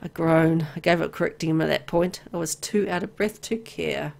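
A woman's voice: low-pitched, normal speed, creaky, a little mellow. A woman reading a first-person story aloud in a dramatic narrating style. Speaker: visual novel reader.

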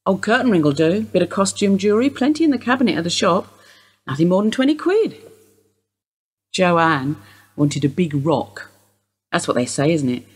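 A husky-voiced older widow, played by a woman, speaks in a radio drama. She is dry, blunt and unsentimental under police questioning. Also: on the low side, sing-song, normal speed, long pauses. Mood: wry. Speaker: actor in a radio play.

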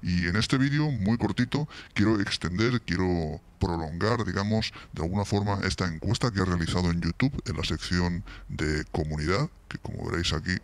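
Man in his forties, European Spanish accent, very low pitch, voice-modulator effect, casual jokey vlogging, close mic. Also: normal speed, few pauses. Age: 40s. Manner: chatty, informal, jokey. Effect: voice modulator deepening the voice. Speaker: YouTube host, football fan channel. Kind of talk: casual chat to camera. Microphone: close vintage-style studio microphone.

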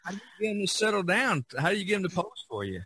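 A man's voice: sits high, big pitch swings, quick pace, pushed and bright, slightly rough, no room sound. A man hosting a casual role-played interview, repeating himself a little as he sets up questions. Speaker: podcast host interviewing artists. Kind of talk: casual play-acted interview.

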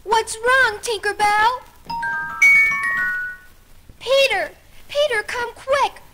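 A woman playing a sweet, caring young girl with a gentle manner in a children's story recording. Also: extremely high pitch, extremely slow, over loud music, heavily acted. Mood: anxious.

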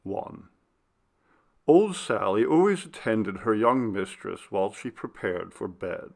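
A man narrating a classic gothic story as an audiobook, in a measured, expressive literary manner. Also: lowish voice, creaky.

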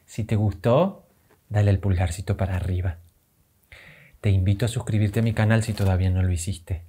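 A man in his thirties with an Argentine accent, telling a children's story expressively, with dramatic pauses and simple, deliberate phrasing. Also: lowish voice, mellow, husky. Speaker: children's storyteller and channel host.

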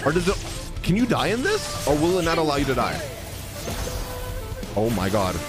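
Young male game streamer, extremely animated intonation, excitable slangy reactions, prominent battle music behind. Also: fairly high pitch, normal speed. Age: young adult. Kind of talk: live gameplay reaction commentary. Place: indoor streaming room. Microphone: close desk microphone.

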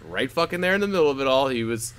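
A man's voice: mid-range voice, very animated, very fast, a bit bright, clean tone. A man in his thirties co-hosting a comedy podcast, rambling and swearing freely in casual banter, laughing often. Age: 30s. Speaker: podcast co-host.

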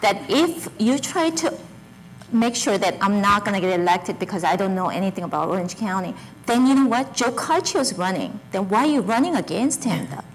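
A woman's voice: medium pitch, animated, fairly quick, a little hiss or hum. A middle-aged woman born in Korea and raised in Japan, a political candidate speaking earnestly and defensively in a panel debate, in slightly English, on a lapel mic in a community hall. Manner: earnest, defensive, non-native phrasing. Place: community center hall with audience.

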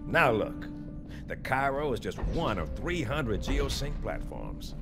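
A man playing a gruff, wisecracking marine sergeant in a video game, with a gravelly, cocky, folksy drawl. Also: mid-range voice, big pitch swings, slow, music in the background. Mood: proud, confiding. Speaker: game character voice. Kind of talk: video game cutscene acting.

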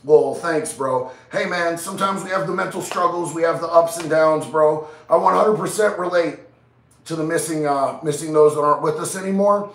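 Man with a nasal head cold, fairly high voice, casual slangy livestream chat, roomy echo, mic set back. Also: moderate pace, distant mic. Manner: laid-back slangy chatter, reading out chat. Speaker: livestream host. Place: small indoor studio with neon lights.

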